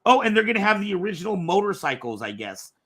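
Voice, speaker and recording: A man's voice: sits high, sing-song, quick pace, fairly bright tone, husky. An American man hosting a talk show in a casual, conversational style. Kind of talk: casual podcast chat.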